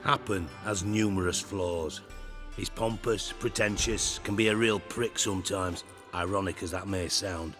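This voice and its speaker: A sarcastic, imperious, middle-aged spymaster in a video game. He speaks in a fairly low voice and a crude, commanding manner. Game music plays clearly behind him. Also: steady tone, measured pace, in a large hall. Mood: sardonic. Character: sardonic, bossy male spymaster, middle-aged.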